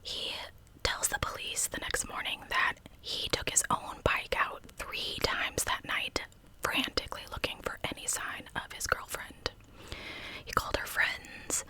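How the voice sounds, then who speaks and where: A woman hosting an ASMR channel, narrating in a breathy, intimate whisper with casual asides. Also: sharp tone, poor audio. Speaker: host of a true crime ASMR channel.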